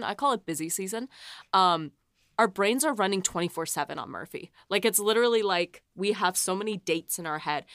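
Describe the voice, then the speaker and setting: A woman's voice: fairly low pitch, fairly bright tone, clean tone, dry recording. Chatty woman in a dry, close recording. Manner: chatty, emphatic, peppers talk with "like". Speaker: podcast host and production coordinator. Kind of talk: casual behind-the-scenes chat.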